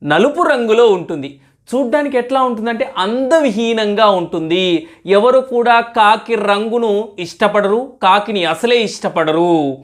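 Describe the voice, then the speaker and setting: A woman's voice: medium pitch, lively intonation, a little slow. A woman telling a moral story as host, teacherly, asking questions and repeating answers as if to a young child.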